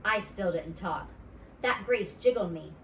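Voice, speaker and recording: Animated woman reading a storybook, roomy echo. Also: mid-range voice, measured pace. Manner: expressive, dramatizing dialogue. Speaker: teacher reading a children's book aloud. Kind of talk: animated storytime read-aloud in a child's first-person voice. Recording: roomy echo, distant mic, faint noise.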